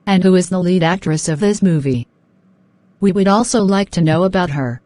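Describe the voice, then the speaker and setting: A woman plays a polite and eager young woman in an educational cartoon. Her voice sits a little low for a woman. The lines are scripted and deliberate, recorded very dry with no room sound. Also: a little mellow, no echo, lightly acted. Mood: polite, interested. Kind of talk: scripted English-learning dialogue.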